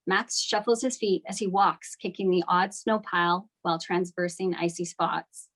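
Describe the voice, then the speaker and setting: A woman's voice: lowish voice, fairly even intonation, normal speed, fairly bright tone. A woman narrating scripted stage directions at a table read of a screenplay.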